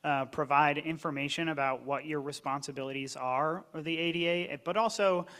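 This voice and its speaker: Panelist, male, higher-pitched voice, earnest and explanatory. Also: fairly even intonation, moderate pace, a bit bright. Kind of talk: panel discussion.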